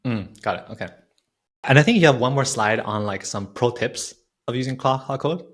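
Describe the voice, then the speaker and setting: A man's voice: mid-range voice, moderate pace. A man hosting an interview in a casual, chatty style. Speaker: interview host. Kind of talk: casual chat.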